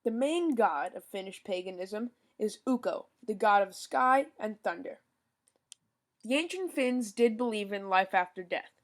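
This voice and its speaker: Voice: mid-range voice, lively intonation, measured pace. A young podcast host giving a scripted informational rundown, now and then stumbling over foreign names and apologizing.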